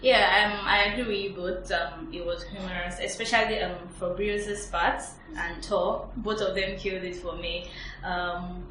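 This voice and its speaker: A woman's voice: medium pitch, fairly even intonation, moderate pace, bright, forceful tone, recorded from a distance. Woman, podcast guest, casual opinions, echoey room, faint background noise. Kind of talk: casual film review discussion.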